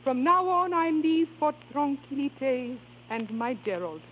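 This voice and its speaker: Theatrical diva, high swooping voice. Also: female voice, expressive, slight background noise. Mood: imperious, firm. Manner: grand, dramatic, halting foreign phrasing. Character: passionate, theatrical woman opera singer in love, speaking foreign-tinged English. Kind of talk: 1930s radio acting. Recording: tinny 1930s radio, long reverb, music behind.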